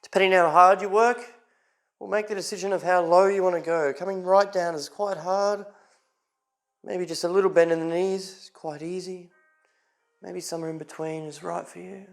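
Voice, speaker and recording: A man leading a guided yoga class as instructor, giving short, calm, unhurried spoken cues. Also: high-pitched.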